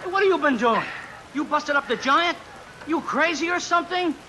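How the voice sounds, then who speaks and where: Shrill, excitable funhouse worker. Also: male voice, moderate pace, low-quality recording. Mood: alarmed. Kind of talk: 1940s American radio drama acting. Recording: hissy old radio recording with rain.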